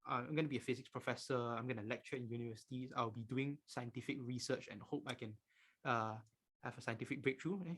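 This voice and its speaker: A man's voice: medium pitch, a bit bright, slightly rough. A nineteen-year-old man with a Malaysian accent teaching an informal online class, emphatic and repeating his key points. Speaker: physics tutor.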